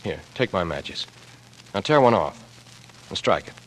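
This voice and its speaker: An old, noisy radio drama recording carries an earnest young American man playing detective. His voice swings widely in pitch, and he talks in terse, observant phrases with an anxious edge. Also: big pitch swings, a little slow. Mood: intent, explaining. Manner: terse, clipped deductive phrases. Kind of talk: 1940s radio acting.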